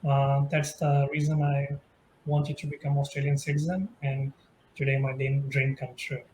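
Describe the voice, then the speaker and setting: Flat-voiced man, echoey room. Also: mid-range voice, normal speed, slight background noise. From India. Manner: earnest and flat-toned, with many uhs. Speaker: new citizen. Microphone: video call. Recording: echoey room over a video call.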